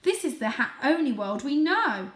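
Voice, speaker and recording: A woman voicing an aged male cat, a worried, pleading family elder in a storybook, speaking gravely and urgently. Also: high voice, very animated, moderate pace, reverberant, voice pushed up. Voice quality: croaky at times.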